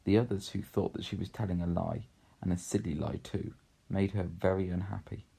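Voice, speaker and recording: A man reads a children's story. His voice is low and mellow, with a gravelly creak. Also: fairly even intonation, a little fast. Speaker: story reader.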